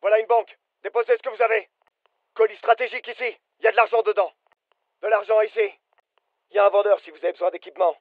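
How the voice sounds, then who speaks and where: A man's voice: high-pitched, fairly even intonation, fast, no room sound, phone-quality sound, lightly acted. A male actor playing an alert, businesslike soldier giving clipped, urgent callouts in a military shooter game. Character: British fireteam soldier, alert, businesslike adult man. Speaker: voice actor, French dub.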